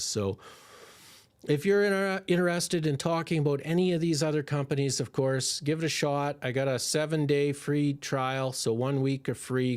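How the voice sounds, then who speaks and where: A male stock-investing host chatting excitedly through a livestream Q&A, rambling and restarting as he goes, his voice slightly hoarse. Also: mid-range voice.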